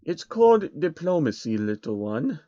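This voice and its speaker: A man's voice: on the high side, very animated, mellow, dry recording, muffled recording. Man doing a menacing, scheming billionaire madman. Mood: cold scheming. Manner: deliberate, threatening.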